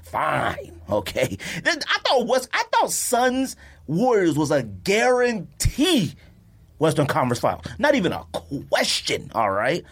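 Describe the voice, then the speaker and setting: American man, husky voice, very lively intonation, casual sports talk, emphatic, exasperated podcast host. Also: fairly high pitch, a little slow. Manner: animated, emphatic, exasperated ranting.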